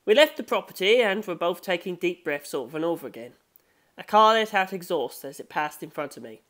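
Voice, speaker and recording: A woman's voice: lowish voice, expressive, fairly quick, a bit bright. A woman reading a scripted story aloud as an audiobook narrator. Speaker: audiobook reader.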